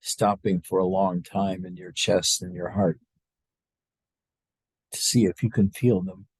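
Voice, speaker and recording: A man leading a guided meditation as a spiritual teacher, speaking to a group in a slow, halting, unscripted way with long pauses. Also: low-pitched, level tone, husky, no echo, low-quality recording.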